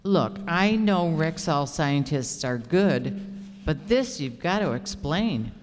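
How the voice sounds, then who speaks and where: Casting: female actor as inquisitive, skeptical radio-ad customer, slightly low unhurried voice, reverberant hall. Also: animated, slight background noise. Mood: curious. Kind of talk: old-time radio advertisement, scripted. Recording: reverberant hall stage recording.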